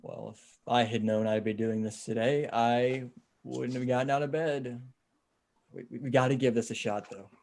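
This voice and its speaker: Casting: man acting level-headed, resolute male apocalypse survivor, mid pitch, measured halting delivery, comic table read. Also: fairly even intonation, creaky, a little mellow, lightly acted. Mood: wry. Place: remote video call table read.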